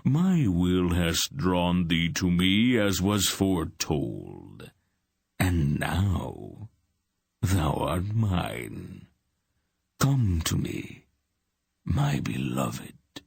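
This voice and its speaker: A man voicing a rasping, gloating dark god. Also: low-pitched, sing-song, no room sound, clean studio sound, full character voice. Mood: gloating menace. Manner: slow, drawn-out, purring menace. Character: menacing ancient male dark god, gloating. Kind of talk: audiobook character voice.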